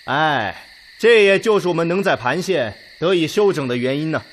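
An actor playing a measured, weighty Red Army commander who consults his officers in a Chinese radio drama. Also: fairly high pitch, expressive, a little hiss or hum, lightly acted. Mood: consultative.

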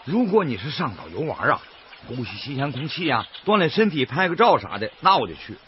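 A man's voice: medium pitch, sing-song, brisk, a little hiss or hum, lightly acted. An actor playing a kindly, principled boatman in a radio drama, with a folksy, earnest Northeastern Chinese accent. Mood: firm, earnest. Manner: folksy, earnest, plain-spoken. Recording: dull radio recording with loud music.

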